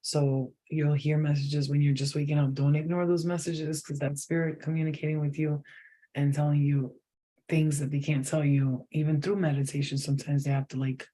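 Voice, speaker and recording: A man's voice: on the high side, level tone, moderate pace, warm tone. A man giving a channeled psychic reading to viewers, talking straight to them, emphatic and repetitive. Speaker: psychic reader.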